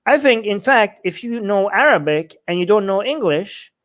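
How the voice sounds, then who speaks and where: A man co-hosting a casual question-and-answer chat, with a slightly high voice. He talks bluntly and with animation, full of fillers and restarts. He pushes his points hard and opinionated. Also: expressive, moderate pace, fairly bright tone. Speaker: co-host answering viewer questions.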